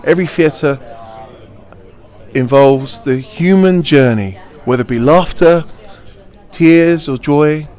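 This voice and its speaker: A man's voice: a little high, slow, darkish tone, soft background music. A middle-aged man, a pantomime actor, giving playful answers in an interview, joking and laughing often in a theatrical way.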